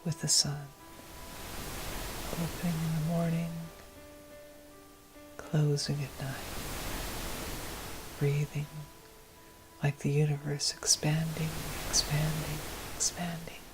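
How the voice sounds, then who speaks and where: A woman with a low voice guides a meditation slowly and gently. Her delivery is soft and breathy, often close to whispering, with clearly audible music underneath. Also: level tone, low-quality recording. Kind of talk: guided meditation, slow soothing narration.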